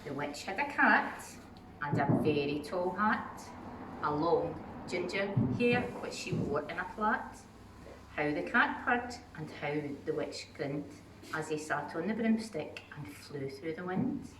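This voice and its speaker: A woman reading a rhyming children's story aloud in an expressive storytelling style. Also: deep voice, unhurried. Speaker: storybook reader. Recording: roomy, distant mic, faint noise.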